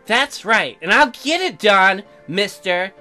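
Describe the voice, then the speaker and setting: A man's voice: lively intonation, takes it slowly, bright, forceful tone, heavily acted. A man voicing a young newlywed colonist wife, chirpy but testy, in a visual novel game. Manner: high-pitched girlish put-on, narrating and bickering. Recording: music behind.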